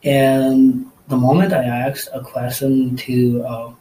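A man's voice: medium pitch, level tone, slow pace, warm tone, a little hiss or hum, poor audio. A man whose first language is Nepali, a college student answering interview questions in a thoughtful, hesitant way with many fillers.

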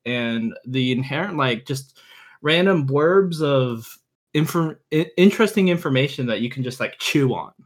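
A man's voice: medium pitch, lively intonation, moderate pace. A man in his twenties, a podcast guest, explaining things casually and informally, often repeating words as he goes. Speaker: podcast guest, visual novel reviewer. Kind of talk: casual chat, explaining and recommending.

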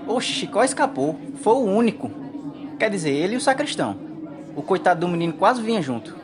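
A woman's voice: mid-range voice, big pitch swings, brisk, close to an everyday voice. A woman playing a cheeky, wry poor trickster in a radio soap opera. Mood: puzzled. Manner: wry, sassy. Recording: noisy crowd ambience behind.

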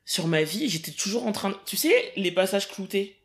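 A young woman from the Paris region in casual podcast conversation, emphatic and quick, often laughing. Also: medium pitch, very animated. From Paris region, France.

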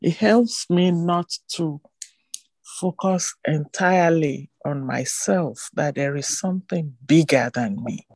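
A hoarse woman from a church congregation giving a halting, reflective comment over a video call. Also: low voice, a little mellow, no echo. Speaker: congregation member. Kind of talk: spoken comment during online service.

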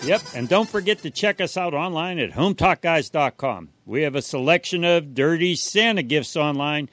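A man's voice: on the high side, animated, moderate pace, a bit bright, faint music behind. A man co-hosting a home-improvement radio show, giving scripted practical tips in a conversational exchange.

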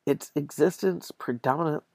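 Male podcast host, gravelly mid-range voice, close dry sound, reading an article aloud and stumbling now and then. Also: fairly high pitch, brisk, darkish tone, dry recording.

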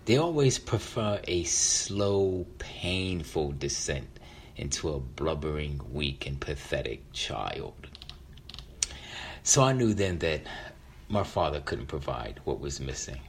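A middle-aged man shares a personal story in a deep voice. He is reflective and draws out phrases for dramatic weight. There is faint noise behind him. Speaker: featured storyteller.

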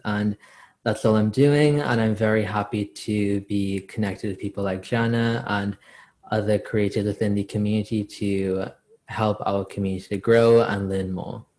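A man's voice: lowish voice, steady tone, measured pace, a little mellow. A man from Trinidad who grew up in South Florida, speaking as a podcast guest in a friendly, easygoing, conversational way. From born in Trinidad, raised in South Florida. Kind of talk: podcast interview.

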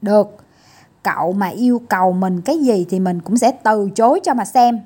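A woman's voice: medium pitch, moderate pace, mellow, lightly acted. A woman voicing a cocky, impatient little male gnome in a storybook, brash and insistent. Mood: sulky, defiant.

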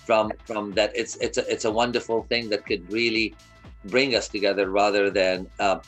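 Interview guest, man in his fifties from Kuwait, lowish voice, earnest, restarts a lot, faint music underneath. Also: flat delivery, normal speed, a bit bright. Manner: earnest, repeats words and restarts often. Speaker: guest, media company founder. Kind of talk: casual interview storytelling.

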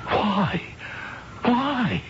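An actor playing an aggrieved, easily rattled husband in an old-time radio drama, hesitant and emphatic. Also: male voice, high voice, animated, very slow, heavily acted. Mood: terrified, pleading. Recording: muffled, noisy old radio recording.

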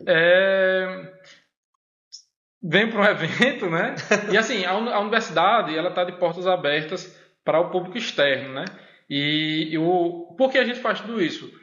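A man's voice: sits high, moderate pace, a bit bright. Hesitant man with a northeastern Brazilian accent. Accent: Brazilian Portuguese, northeastern. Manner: hesitant, many fillers and repeated "né?". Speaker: podcast guest, university professor. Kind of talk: casual interview chat. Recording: echoey room, dull lo-fi sound.

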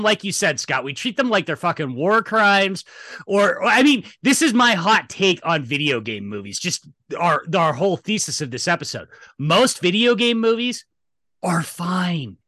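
A man with a bright, slightly high voice talks casually as a podcast co-host. He is opinionated and emphatic, swears freely, and the sound is very dry and close. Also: dry recording. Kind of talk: casual film discussion, opinion rant.

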